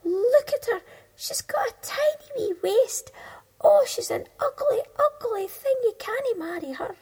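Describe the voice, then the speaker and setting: A woman doing shrill, catty beetles. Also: expressive, unhurried, a little mellow. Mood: mocking. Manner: shrill, mocking. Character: catty, sneering crowd of lady beetles.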